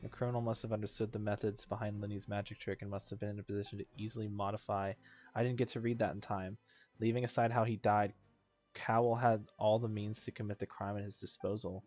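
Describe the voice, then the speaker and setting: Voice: low-pitched, level tone, fairly quick, a little mellow. A game streamer giving casual commentary, thinking aloud and sometimes reading dialogue off the screen.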